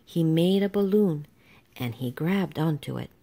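A woman narrates a children's picture book in a low-pitched voice. Her delivery is slow and unhurried, with a soft, mellow tone suited to reading aloud. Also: expressive, vocal fry. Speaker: storybook reader.

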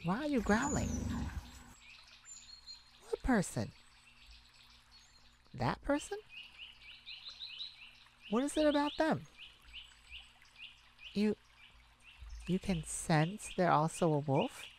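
A woman's voice: medium pitch, slow pace, long pauses, a little hiss or hum. A woman playing a kind, protective, flustered young mage in a romantic ASMR roleplay, hesitant and stammering. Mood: curious, cautious. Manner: hesitant, stammering, soft-hearted. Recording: steady outdoor birdsong ambience behind voice.

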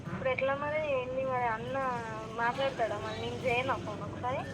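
A high-voiced young woman with a Telangana Telugu accent comes through a very noisy phone call. She keeps questioning in a concerned, insistent manner. Her talk is casual, as between close friends. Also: steady tone, fast. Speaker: friend receiving a prank call. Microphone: heard through a phone call.

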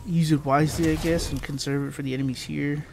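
A man's voice: a little high, little pitch movement, fast, gravelly. A young man with a congested, nasal voice from allergies, giving casual, rambling gameplay commentary full of fillers. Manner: rambling, filler-heavy explaining. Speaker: gaming channel host. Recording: noisy home recording.

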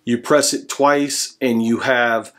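A man's voice: mid-range voice, steady tone, slow, fairly bright tone. American man presenting a gear review. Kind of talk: explanatory product review to camera. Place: small room indoors at home.

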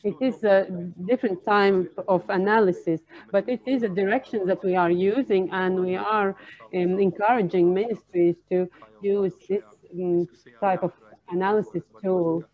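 A woman working as a simultaneous interpreter at a panel discussion, speaking in halting, separate phrases. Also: mid-range voice, fairly even intonation. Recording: narrow, tinny phone-like line.

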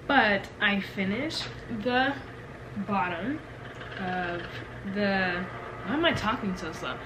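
A woman's voice: mid-range voice, slow pace, noisy background. A young woman talking loosely to the camera in a small bedroom, rambling along with plenty of fillers. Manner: relaxed, rambling with many fillers. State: stuffy from a cold. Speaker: knitting vlogger. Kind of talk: casual chat to camera.

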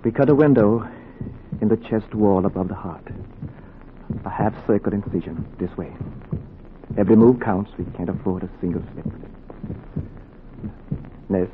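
A man's voice: fairly low pitch, moderate pace, long pauses, narrow, tinny audio, lightly acted. Guy playing a determined young american doctor in an old radio play, earnest, clipped, thin hissy sound. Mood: tense, commanding. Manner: clipped commands, urgent pleading. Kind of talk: 1940s radio acting. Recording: noisy, thin 1940s radio recording.

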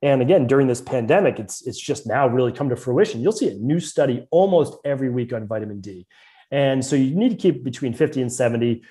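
A man's voice: mid-range voice, expressive, fast, mellow. A man with a Boston accent, a naturopathic doctor explaining hormones and lab testing in an earnest, detailed way as a podcast guest. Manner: earnest, detailed, fluent medical explaining with frequent restarts. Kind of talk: explanatory interview talk.

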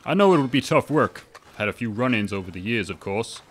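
An earnest, polite young railroad worker in a western radio play. He speaks at a middle pitch. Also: expressive, brisk, fairly bright tone. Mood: eager.

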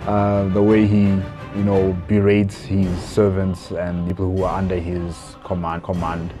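A man's voice: low voice, monotone, measured pace, music in the background. A young adult man giving casual commentary as a reaction video host, musing and hesitant, on a lapel mic in a small room. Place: small plain room indoors.

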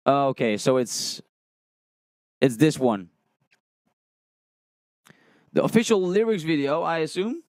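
A man's voice: a little high, very animated, slow, pauses often, darkish tone, no room sound. A young man on a livestream, chatting casually and thinking aloud as he reads chat, recorded on a studio mic in a small room. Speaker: music reaction streamer. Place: small room with colored lights, indoors. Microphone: studio condenser mic on a stand, headphones on.

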